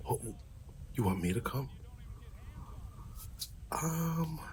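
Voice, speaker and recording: A man doing a casual ASMR roleplay as an easygoing, supportive friend, talking softly in a laid-back buddy way. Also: a little high, lively intonation, extremely slow, a little hiss or hum. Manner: soft-spoken, half-whispered, laid-back buddy talk.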